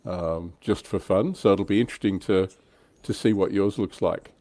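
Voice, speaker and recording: A man with a low voice hosts a relaxed hobby livestream. He talks in a conversational, lightly teasing way and keeps prompting the others. The sound is dry, with very little room in it. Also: moderate pace, dry recording. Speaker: host of a tabletop wargaming livestream. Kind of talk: casual hobby chat.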